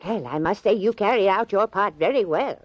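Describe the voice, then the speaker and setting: A woman's voice: mid-range voice, brisk, dry recording, close to an everyday voice. An actress playing a spirited, eccentric elderly small-town lady, prim, chatty and insistent, in a 1940s radio drama. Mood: bossy, pleased. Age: older. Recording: dull vintage 1940s radio recording.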